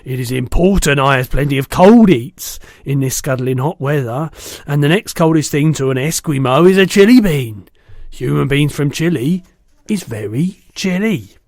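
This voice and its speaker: A man's voice: on the high side, animated, moderate pace, darkish tone, no room sound, clean studio sound. A man voicing a brutish, greedy, man-eating giant in a storybook, boastful and bullying, with mangled words. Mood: gloating, boastful.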